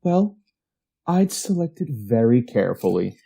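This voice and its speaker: A woman playing an obsessive, taunting young man who builds robots, husky-voiced, in a retro radio drama. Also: very deep voice, big pitch swings, a little slow, warm tone, exaggerated voice. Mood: obsessive, teasing.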